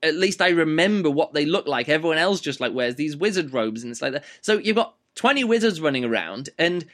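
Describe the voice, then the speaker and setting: A man with a slightly rough voice giving a casual, rambling spoken book review, with many fillers and restarts. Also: a little high, lively intonation, fairly quick, fairly bright tone.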